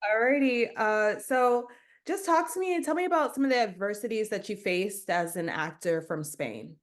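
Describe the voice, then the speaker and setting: A woman interviewing an actor in a casual, informal way, with quick affirmations. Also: mid-range voice, expressive.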